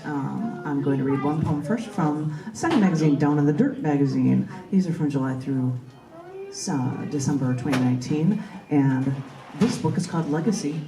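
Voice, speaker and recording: A woman reading her own poetry live at an open mic in a large indoor bookstore, in a spoken-word style. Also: sits low. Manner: emphatic, declamatory, repetitive rhythmic delivery. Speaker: poet reading own work. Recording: roomy, echoing live recording in bookstore.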